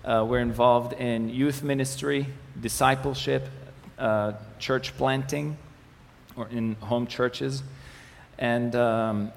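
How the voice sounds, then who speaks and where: Gravelly-voiced man speaking in an echoey hall. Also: medium pitch, slow pace. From Israel, Galilee. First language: Arabic. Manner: relaxed, joking, many fillers and restarts. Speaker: ministry communications manager giving a presentation. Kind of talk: church presentation with slides. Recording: echoey hall with faint noise.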